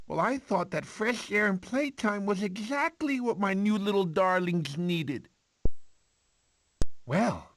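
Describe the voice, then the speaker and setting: A man's voice: high voice, fairly bright tone, slight background noise, full character voice. A man voicing a goofy, sentimental cartoon sloth uncle who rambles fondly as he tells a baby a story. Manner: rambling, fussy, doting storyteller. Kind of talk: animated film character narration.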